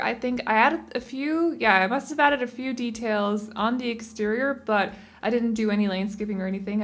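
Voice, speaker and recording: A young Danish woman, not a native English speaker, talks casually over her speed build video, rambling and often correcting her own word choices. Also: on the high side. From Denmark. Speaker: game building channel host.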